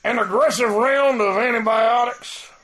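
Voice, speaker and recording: A man as a high-voiced, cranky soldier. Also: a little slow, fairly bright tone. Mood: argumentative. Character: argumentative, skeptical male soldier, cranky know-it-all. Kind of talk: comedic audio drama acting.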